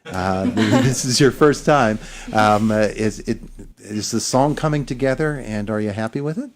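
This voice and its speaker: Low-voiced guy doing a morning radio interview in the studio, lots of uhs and ums. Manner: halting questions with frequent "uh" and "um". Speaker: radio morning show host. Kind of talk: casual interview.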